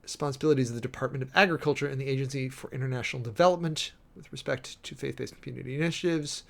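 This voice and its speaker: A male streamer with an average-pitched voice reads formal text aloud and annotates it as he goes. His commentary is wry and sardonic, and his delivery moves between brisk reading and halting, emphatic remarks. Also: creaky, fairly bright tone. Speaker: streamer and preacher giving commentary.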